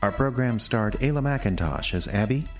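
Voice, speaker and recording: A man reading scripted credits as an announcer for an audio drama, formal and deliberate. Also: fairly low pitch, expressive, faint music behind.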